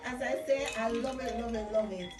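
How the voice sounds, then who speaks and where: A woman's voice: on the high side, fairly quick, recorded from a distance. A woman with a Caribbean accent chatting casually and telling stories emphatically, repeating phrases for effect. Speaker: vlog co-host. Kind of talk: casual chat, storytelling. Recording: roomy echo from off mic, music behind. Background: music.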